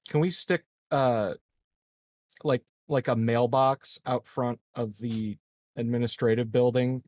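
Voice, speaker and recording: A man's voice: medium pitch, steady tone, slow, darkish tone, no echo. A man playing a tabletop game, casually asking questions and repeating words as he forms them. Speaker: tabletop roleplaying player.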